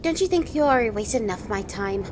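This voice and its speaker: A woman's voice: a little high, expressive, quick pace, heavy background noise, lightly acted. A woman playing an irritable, hungry little female goblin guard, impatient and brash, in an audio drama. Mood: irritated, impatient. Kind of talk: audio drama character acting.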